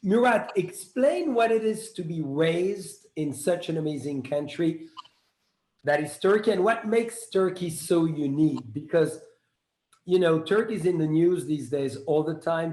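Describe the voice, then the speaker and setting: A man hosting an interview, talking quickly and effusively as he poses questions. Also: on the high side, darkish tone. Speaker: host. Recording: echoey room.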